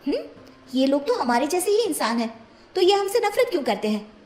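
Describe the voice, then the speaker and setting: A woman's voice: high voice, big pitch swings, brisk, darkish tone, reverberant. A woman playing a naive but defiant young transgender girl in a cartoon moral story, speaking earnestly and expressively. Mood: questioning, defiant.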